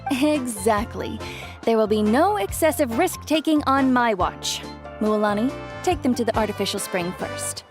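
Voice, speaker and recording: Bossy, caring auntie figure, female actor, wry and scolding, fairly high voice, game dialogue with music underneath. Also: lively intonation, moderate pace, lightly acted. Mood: stern, warning. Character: strict, bossy but caring auntly neighbour woman. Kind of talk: video game voice acting.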